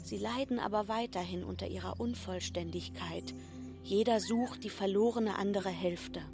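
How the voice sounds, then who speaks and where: Measured female narrator over music. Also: medium pitch. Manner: measured, explanatory. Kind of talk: scripted narration.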